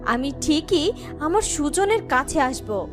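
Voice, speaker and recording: A woman plays a possessive serpent queen in human form from a cartoon fairy tale. Her voice is very high and swings widely, imperious and vengeful, over clear background music. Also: big pitch swings, takes it slowly, full character voice. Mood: indignant, determined.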